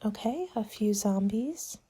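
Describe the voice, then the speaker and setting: Soft asmr lady roleplaying a planner, breathy n mellow, polite and measured, half whispery. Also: medium pitch, animated. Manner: polite, measured, businesslike consultant patter.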